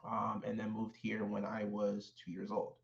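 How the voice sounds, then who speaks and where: Gravelly low-voiced man telling his story. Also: monotone, echoey room, muffled recording. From Haiti. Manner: measured, thoughtful, with many fillers and restarts. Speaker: guest, clinical social worker. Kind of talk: reflective personal storytelling.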